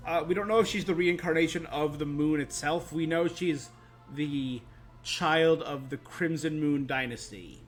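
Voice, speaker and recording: Higher-pitched man musing on a livestream. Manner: musing, thinking aloud. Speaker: game livestreamer. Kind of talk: casual live reaction and lore commentary.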